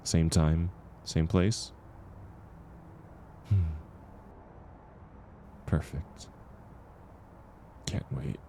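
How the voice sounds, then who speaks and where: Male actor as kind weary drifter, very low voice, gravelly fry, unhurried, gentle, comfort audio roleplay, slight background noise. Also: full character voice. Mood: hopeful, tender. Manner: gentle, encouraging, unhurried, chuckling often. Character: kind, world-weary drifting performer, once homeless, comforting a stranger.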